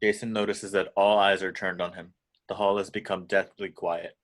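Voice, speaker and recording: A man's voice: low voice, little pitch movement, moderate pace. A man narrating screenplay action lines as scripted narration in an amateur table read. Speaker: narrator reading stage directions.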